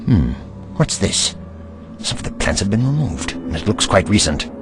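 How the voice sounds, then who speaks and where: An actor playing an eccentric, kindly and curious investigator in an audio drama, pensive and courtly in manner. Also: male voice, sits low, very animated, moderate pace. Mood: pensive, concerned.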